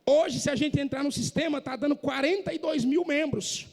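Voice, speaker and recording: A man preaching a sermon in a church, impassioned and rising to shouts, from northeastern Brazil. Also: very high-pitched, moderate pace, creaky. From Northeast Brazil, Maranhão. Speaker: pastor. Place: church hall. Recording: echoey church hall.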